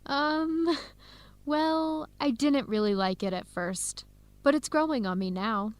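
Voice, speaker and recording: A woman's voice: high-pitched, slow, dry recording. A woman playing a friendly young Ethiopian American grad student with an American accent in a radio drama, speaking in a conversational way. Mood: open, easygoing. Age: young adult. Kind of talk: educational radio drama acting.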